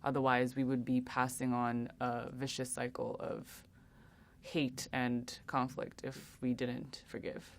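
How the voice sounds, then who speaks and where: A young woman answering interview questions earnestly, with many pauses and restarts. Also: very deep voice, level tone. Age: young adult. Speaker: interview guest.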